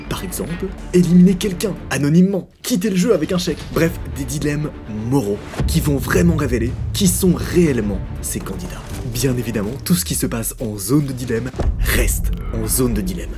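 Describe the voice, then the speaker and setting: A man hosting a game show, announcing the rules in a scripted, dramatic way. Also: mid-range voice. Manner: dramatic, suspenseful delivery. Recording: long hall reverb. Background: music.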